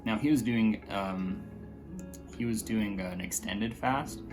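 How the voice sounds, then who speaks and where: A man with a slightly raspy voice chatting casually in a vlog, hesitant, with many fillers and restarts. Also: lowish voice, slow, music in the background, low-quality recording. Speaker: vlogger.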